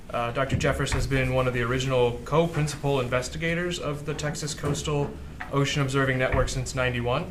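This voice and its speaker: A man hosting an academic seminar, reading out a formal introduction of the guest speaker. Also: medium pitch. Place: indoor university seminar room. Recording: clear background noise.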